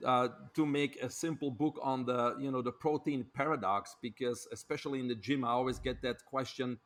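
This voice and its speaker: A man's voice: medium pitch, fairly even intonation, fairly quick, fairly bright tone. A middle-aged man from Belgium with a Belgian accent, a guest on a podcast interview, telling his story fluently with frequent filler words. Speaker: guest, doctor and author.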